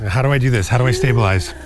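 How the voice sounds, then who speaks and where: A man taking part in a tabletop roleplaying game, asking about rolls and chatting casually. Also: medium pitch.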